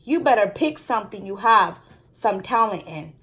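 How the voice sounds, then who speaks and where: A woman's voice: mid-range voice, lively intonation, moderate pace, a bit bright, natural voice, rough recording. A woman voicing a bossy, dismissive older sister in a storybook, a young girl with a blunt, careless, know-it-all manner.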